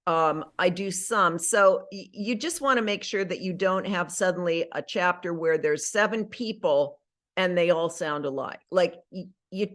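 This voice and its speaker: A middle-aged woman with a somewhat low voice chats easily in an interview. She talks in a lively, rambling way, peppering her sentences with "like" and restarting her thoughts as she goes. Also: a little slow. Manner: chatty, animated, full of "like" and self-corrections. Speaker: actress and audiobook narrator. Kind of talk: casual interview chat.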